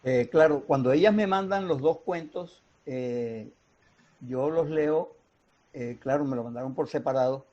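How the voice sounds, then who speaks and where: A man with a Colombian Caribbean accent explaining things in a didactic, deliberate way with pauses, as in an interview. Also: mid-range voice, a little mellow. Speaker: radio drama writer and mentor. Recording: dull, muffled lo-fi sound.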